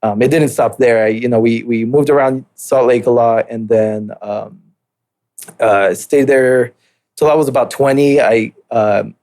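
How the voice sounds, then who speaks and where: A man's voice: mid-range voice, fairly even intonation, a little slow, a little mellow. A man in his late twenties, born in Mexico, telling his life story as a podcast guest in a laid-back, hesitant way, with many fillers and restarts. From Mexico, raised in southern California and Utah. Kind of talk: casual interview storytelling.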